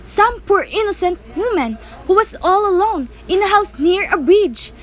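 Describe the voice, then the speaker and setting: A woman's voice: very high voice, expressive, normal speed. A teenage girl in an amateur radio play, playing an anxious, insistent, sickly woman alone at home. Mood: horrified, urgent. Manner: agitated, insistent, pleading. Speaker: student actor.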